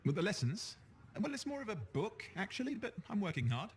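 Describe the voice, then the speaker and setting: A man's voice: sits high, big pitch swings, a little fast, slight background noise. A man playing a chatty, boastful but insecure young sidekick in a sci-fi video game, playful and self-mocking. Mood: cheeky, boastful. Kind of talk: acted game dialogue.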